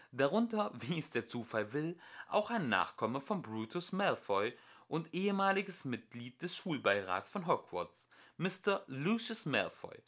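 Bright, expressive man reading a storybook. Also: on the high side. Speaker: amateur audiobook reader. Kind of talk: scripted storybook narration, reading aloud.

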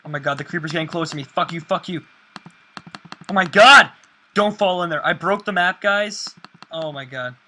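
A man in casual gaming chat with friends, excitable and swearing often. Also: a little high, moderate pace, long pauses. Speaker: gamer.

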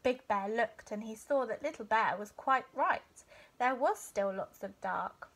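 A young woman reads a children's bedtime story aloud. Her voice is fairly high and she takes her time, giving the narration plenty of expression. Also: animated, a little slow, fairly bright tone. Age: young adult. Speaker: teacher reading a bedtime story. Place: small furnished room indoors.

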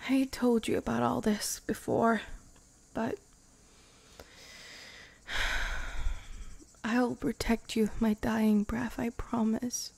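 Slow, soft-spoken vampire girlfriend voiced by a woman. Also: on the high side, little pitch movement, exaggerated voice. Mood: anxious, protective. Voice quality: soft, breathy whispery moments. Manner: slow, hesitant, sighing between phrases. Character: centuries-old female vampire girlfriend, wistful, tender, protective. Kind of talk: ASMR roleplay, intimate soft speech drifting into whispers.

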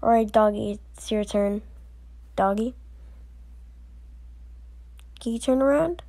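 A woman performing acted voicelines for a fan animation with slow, deliberate delivery. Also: on the high side, dark tone. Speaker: amateur voice actor.

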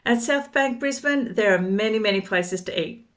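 A middle-aged woman narrating a travel vlog voice-over, calm and informative, giving tips and recommendations. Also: medium pitch, moderate pace, faint music behind. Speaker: travel vlogger. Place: outdoors at a riverside city park.

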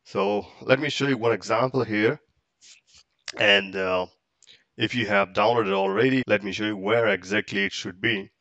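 A man explaining software step by step in casual, halting, English. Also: lowish voice, steady tone, no echo, muffled recording. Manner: halting, step-by-step, non-native English phrasing. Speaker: tutorial presenter. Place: close, dry indoor voice-over for a screen recording.

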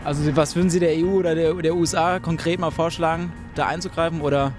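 A man's voice: on the high side, fairly even intonation, quick pace, music in the background. A young man with a German accent, a show host asking passers-by questions on a city street with a handheld mic. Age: young adult. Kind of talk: street vox-pop interviewing. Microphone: handheld mic with large foam cover.

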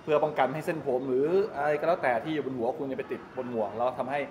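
A middle-aged man talks in a chatty, rambling, explanatory way, like a vlogger showing people around. His voice sits at a medium pitch. It is recorded at arm's length in a big echoey space with clear ambient noise. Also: very fast. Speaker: vlogger giving a tour. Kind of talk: casual walk-through explanation to camera. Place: large open-sided go-kart pit hall. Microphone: 360 camera at arm's length. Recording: roomy echo, loud ambient noise.

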